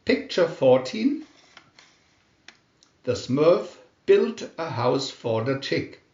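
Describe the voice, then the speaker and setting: A man narrating a scripted children's storybook in a deliberate, expressive storytelling manner. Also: fairly high pitch, echoey room, dull audio.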